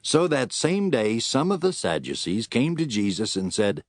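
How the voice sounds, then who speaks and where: A man's voice: medium pitch, very animated, moderate pace, dry recording, clean studio sound. A man reading scripted narration for an audio Bible drama as its storyteller.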